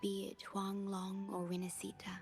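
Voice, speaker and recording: An actress playing a serene, mysterious guardian in a video game, speaking in a gentle, solemn, breathy way. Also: female voice, medium pitch, completely flat delivery, moderate pace, close to an everyday voice. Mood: tender, solemn. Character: serene, mysterious female guardian, tender and patient. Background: game music.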